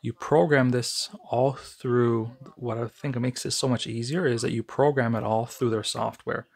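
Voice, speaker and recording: Husky American man, gravelly fry, mid-pitched, casual unscripted reviewer, conversational, halting delivery. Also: steady tone. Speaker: amateur product reviewer.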